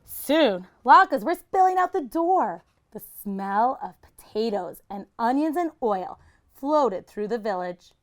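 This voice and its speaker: A woman's voice: fairly high pitch, animated, slow. A woman reading a children's picture book aloud like a teacher, expressively and with dramatic emphasis.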